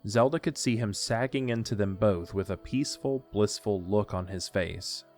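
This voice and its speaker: A man's voice: fairly low pitch, normal speed, a little mellow, faint music behind. A man narrating a scripted story for an audio drama.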